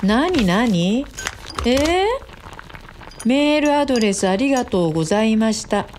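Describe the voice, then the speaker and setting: A woman playing a chatty, teasing female office worker in a radio drama, expressive and gossipy. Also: on the high side, measured pace, a little hiss or hum.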